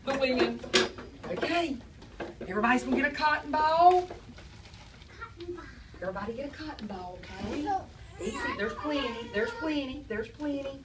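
A woman's voice: high voice, expressive, moderate pace, pauses often, noisy background. A woman teacher, quizzing and praising a class of young children after reading aloud. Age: adult. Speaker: preschool teacher. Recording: echoey classroom from far off.

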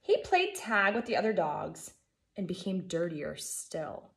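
An American woman in her thirties, a first grade teacher reading a children's storybook aloud in an expressive, emphatic way. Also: fairly low pitch, a little slow, creaky. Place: living room at home, indoors. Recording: echoey room.

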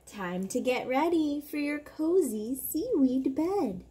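A young woman speaking to a small child in a gentle, drawn-out way, as in a story time for toddlers. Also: high-pitched, animated. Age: young adult. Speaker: parent reading to a toddler. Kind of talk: singing and story reading for toddlers. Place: covered backyard patio, outdoors. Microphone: camera at about arm's length. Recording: clear background noise outdoors.

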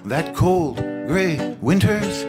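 An older man, a singer-songwriter, singing his own songs into a stand mic on a small cafe stage, with soft, halting spoken introductions between songs. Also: fairly high pitch, expressive. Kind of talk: singing original songs with acoustic guitar. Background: his own acoustic guitar.

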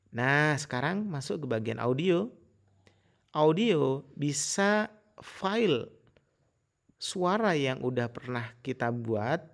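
A man giving a casual, informal software tutorial in an explanatory way. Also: mid-range voice, creaky. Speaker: tutorial host.

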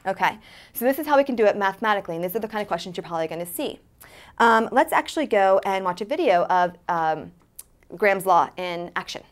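A woman's voice: mid-range voice, lively intonation, quick pace. A woman in her thirties teaching chemistry at a whiteboard in a studio, explaining step by step with many fillers. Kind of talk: whiteboard lesson. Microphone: lapel mic.

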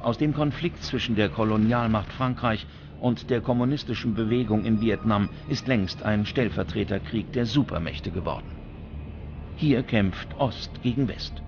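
A man's voice: fairly low pitch, normal speed, vocal fry, lo-fi sound, noisy background. A man reading scripted documentary narration as the narrator. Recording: music bed under the voice. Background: prominent background music.

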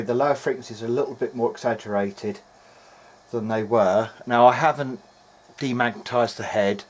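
Man, middle-aged, mid-pitched. Hobbyist repair diary, meandering and unscripted, matter-of-fact. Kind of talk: video diary, thinking aloud while working. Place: indoor workbench.

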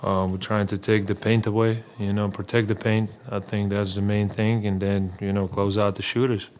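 Man, 30s, low monotone, halting speech, many fillers, postgame press conference, table mic. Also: slight background noise. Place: small press room. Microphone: table mic in front.

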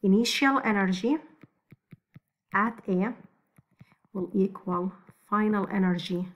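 A woman's voice: mid-range voice, muffled recording. A woman teaching physics, talking step by step through exam problems over a screen recording, with frequent restarts. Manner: slow, step-by-step explaining with restarts.

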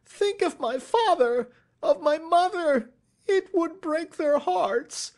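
A man's voice: sits high, lively intonation, unhurried, vocal fry, pitched above the performer's own voice, full character voice. A man voicing a frightened, cringing small-time thief in a detective audiobook, pleading and servile, with a cracking voice. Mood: desperate pleading. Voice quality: cracking, shrieking when desperate. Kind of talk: classic detective audiobook character acting.

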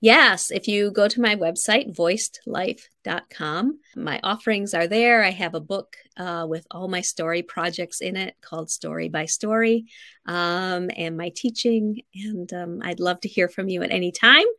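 A woman's voice: on the low side, a little slow, bright, forceful tone, no room sound, clean studio sound. A middle-aged woman speaking as a guest writing coach, casually giving encouraging instruction. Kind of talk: casual interview chat, gentle instruction. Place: living room at home, over a video call. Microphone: video call.

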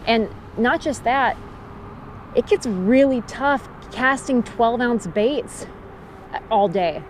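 A woman's voice: medium pitch, darkish tone, slight background noise. Woman vlogging outdoors on a riverbank. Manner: friendly, practical, emphatic on safety rules. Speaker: fishing vlogger. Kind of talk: casual how-to talk to camera. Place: outdoors on a river gravel bank. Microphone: action camera held at arm's length.

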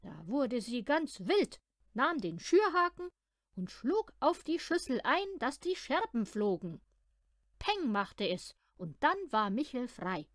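A woman reads a children's story aloud in an expressive storytelling style. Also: fairly high pitch, takes it slowly, no room sound, studio quality. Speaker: audiobook reader of children's stories.

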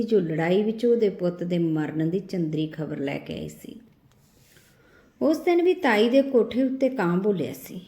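A woman's voice: mid-range voice, dark tone. A woman reading a literary short story as a scripted narration. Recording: roomy echo on the voice.

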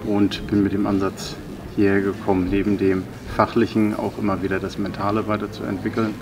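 A man's voice: fairly low pitch, flat delivery, fairly quick, noisy background. A man in his thirties who manages IT projects, giving a formal, articulate testimonial. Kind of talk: interview testimonial. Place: indoor sports hall.

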